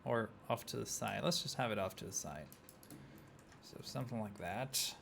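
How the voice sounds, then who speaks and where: Young argentinian guy streaming a city builder, gravelly voice, rambly, faint hiss behind. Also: fairly low pitch, animated, normal speed, a bit bright. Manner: rambling, chatty, talks to chat, many "like" fillers. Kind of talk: casual gameplay commentary.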